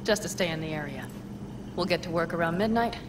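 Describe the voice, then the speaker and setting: A woman's voice: fairly low pitch, fast talker, noisy background, natural voice. An actress playing a tough, guarded young nomad woman with a blunt, wry, earthy way of talking in a video game drama. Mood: calm, friendly.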